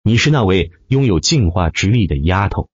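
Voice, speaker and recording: A man's voice: low-pitched, lively intonation, normal speed, husky, dry recording, muffled recording. A man voicing a brusque but caring old martial master with a blunt, grumbling manner in an audiobook. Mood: stern concern.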